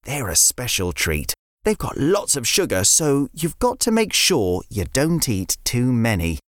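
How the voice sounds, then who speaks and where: A woman's voice: rumbling low, huge pitch swings, measured pace, no room sound, clean studio sound, full character voice. A woman voicing a sensible young boy who is earnest and a little flustered.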